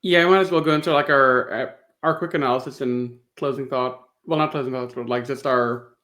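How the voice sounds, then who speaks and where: A man's voice: medium pitch, steady tone, brisk, fairly bright tone. A man co-hosting a podcast, giving his review thoughts in casual conversation. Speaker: podcast co-host.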